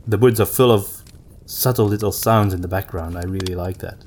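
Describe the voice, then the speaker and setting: A man with a fairly low voice hosts a relaxed, conversational podcast. He thinks out loud, leaving pauses as he puts his thoughts together. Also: a little hiss or hum. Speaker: podcast host. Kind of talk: casual conversational podcast chat.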